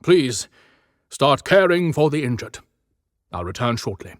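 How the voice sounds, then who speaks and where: A man's voice: medium pitch, sing-song, measured pace, no echo, studio quality. A man playing a serious, weary Santa Claus in an audiobook drama, grave and questioning. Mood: firm, urgent.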